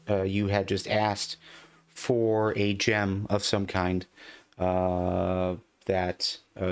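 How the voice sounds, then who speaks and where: A man improvising tabletop game narration as game master, speaking haltingly and often repeating words. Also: lowish voice, creaky.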